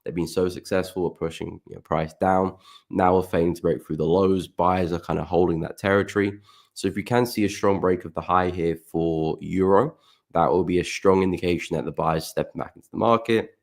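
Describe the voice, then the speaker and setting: A man talks through market charts on a live stream in a deep, low voice. His throat is croaky and he keeps clearing it. His manner stays relaxed and chatty, and he greets viewers by name. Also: brisk. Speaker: forex trading livestream host.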